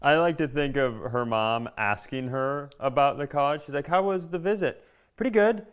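A man's voice: fairly high pitch, moderate pace, clean tone. Sarcastic American man riffing casually. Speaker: podcast co-host. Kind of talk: casual comic banter.

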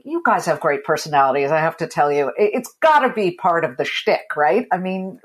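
A woman from California hosting a business interview, talking in a chatty, animated way with quick asides. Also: on the low side, husky. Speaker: business news host.

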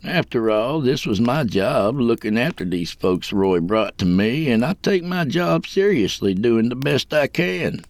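A man voicing a calm old jailer who talks in folksy rural phrases, coaxing and conspiratorial, in a narrated story. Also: mid-range voice, unhurried, few pauses, no room sound.

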